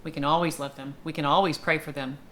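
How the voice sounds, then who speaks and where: A woman's voice: low-pitched, steady tone, quick pace, fairly bright tone. A middle-aged woman chatting reflectively and casually as she hosts a conversation. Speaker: conversation host. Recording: noticeable background noise.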